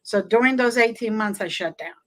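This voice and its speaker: Frank, unhurried woman guest. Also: fairly high pitch. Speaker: medium, show guest. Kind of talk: casual interview talk.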